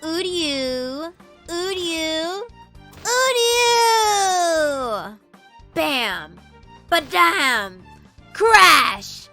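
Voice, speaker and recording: Woman voicing loud drunken bully Sakanoshin. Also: very high-pitched, big pitch swings, drawn-out words, music in the background, pitched above the performer's own voice, heavily acted. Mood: rowdy, belligerent. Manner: loud, jeering, shouted bursts. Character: rude drunken male bully, Sakanoshin, staggering and yelling.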